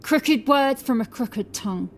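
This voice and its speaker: A woman's voice: high voice, animated, a little slow. A woman playing a scornful medieval inquisitor in a horror audiobook, mocking and accusing. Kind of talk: dramatised horror audiobook acting.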